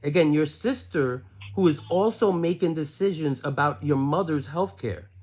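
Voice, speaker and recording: A man's voice: a little high, normal speed, darkish tone, slightly rough. A man in a heated phone argument, insistently repeating himself and pressing question after question. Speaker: caller questioning his aunt.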